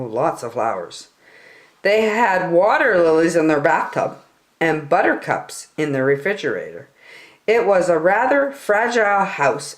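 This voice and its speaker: Slow, expressive older woman reading a storybook. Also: deep voice. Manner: deliberate, emphatic storytelling for small children. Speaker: story time reader. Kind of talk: expressive children's picture book read-aloud. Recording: roomy home recording.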